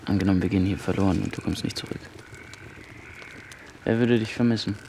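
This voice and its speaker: A man's voice: deep voice, fairly even intonation, quick pace, noisy background. An actor playing a menacing, controlling man in a radio play, with a terse, taunting and probing way of questioning.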